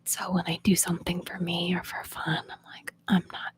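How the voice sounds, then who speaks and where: A young woman from Oklahoma, an ASMR host, chatting in a soft whisper with viewers on a live stream, answering their questions and laughing often. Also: on the low side, monotone, moderate pace. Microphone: phone.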